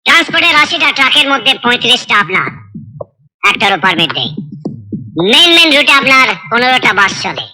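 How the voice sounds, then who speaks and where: A woman voicing a bold, unflappable police officer in comic cartoon dialogue, deliberate and taunting. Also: high-pitched, big pitch swings, pushed and bright, exaggerated voice. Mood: coolly accusing. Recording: dialogue under clear background music. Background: music.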